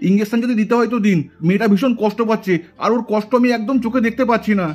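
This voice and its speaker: A man's voice: high voice, fairly even intonation, rapid-fire, a little mellow. A man voicing a worried, protective young father doll in a melodramatic puppet cartoon, urgent and imploring. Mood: anguished. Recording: music behind the voice.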